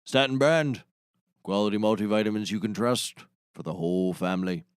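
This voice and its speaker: Man acting low-voiced shady comedy sidekick. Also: normal speed, clean tone, dry recording, studio quality, close to an everyday voice. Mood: earnest pitching. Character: gruff male sidekick, shady ex-mercenary turned product pitchman. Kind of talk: scripted comedy audio drama.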